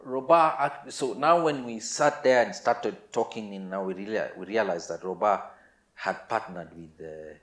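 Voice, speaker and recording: A man with a Kenyan accent and a fairly low voice tells his story in a casual, conversational way. He starts and restarts phrases and mixes in some Swahili. The sound is clearly roomy and echoing. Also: a bit bright. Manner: halting, repetitive, mixes in Swahili words. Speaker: musician, interview guest.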